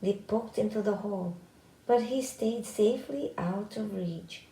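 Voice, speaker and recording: A woman's voice: medium pitch, measured pace, dark tone, echoey room, rough recording. A woman storyteller reading a children's tale aloud as scripted narration.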